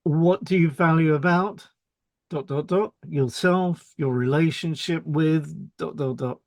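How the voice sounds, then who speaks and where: A man's voice: a little high, a little slow, creaky, dry recording. A man reading a scripted explanatory podcast as co-host.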